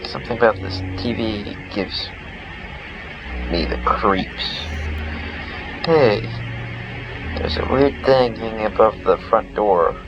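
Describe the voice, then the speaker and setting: Low-voiced man reading game dialogue, muffled. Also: takes it slowly, long pauses, music in the background. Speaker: let's player.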